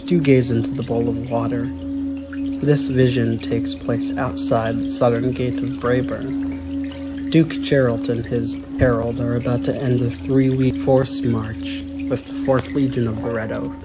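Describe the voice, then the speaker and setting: A man's voice: mid-range voice, little pitch movement, a little slow, over loud music, lightly acted, poor audio. A man voicing a grave mystical seer in a fantasy audio drama, speaking solemnly and courteously. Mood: solemn, mysterious.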